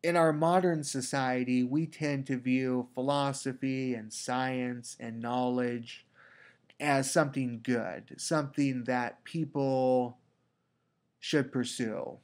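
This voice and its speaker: Slow congested male lecturer. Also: medium pitch, fairly even intonation. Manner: slow, deliberate, with repeated restarts. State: congested with a cold. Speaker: teacher. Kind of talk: recorded lecture. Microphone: computer mic for a screen recording.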